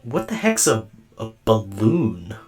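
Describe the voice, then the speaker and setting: A man's voice: lowish voice, huge pitch swings, takes it slowly, over music, heavily acted. A man voicing an earnest, puzzled, naive robot companion in a radio drama.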